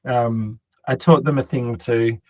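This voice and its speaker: A man co-hosting a podcast, speaking in a hoarse, raspy voice he has worn out, rambling and joking with plenty of ums and restarts. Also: mid-range voice, steady tone, measured pace, no echo. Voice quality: tired, raspy. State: hoarse, lost voice. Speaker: podcast co-host. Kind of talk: casual chat.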